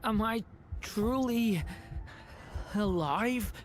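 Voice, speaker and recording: A woman voicing a gentle, uncertain young boy dragon in a video game, speaking softly and haltingly. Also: a little high, a little hiss or hum. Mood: weak, fearful. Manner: soft, halting, earnest. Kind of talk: dramatic video game voice acting.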